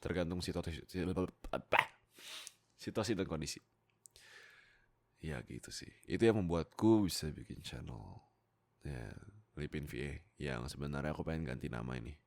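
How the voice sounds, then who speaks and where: Young man, very deep creaky voice. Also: moderate pace, long pauses. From Indonesia. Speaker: YouTube roleplay voice creator. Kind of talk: casual chat to viewers.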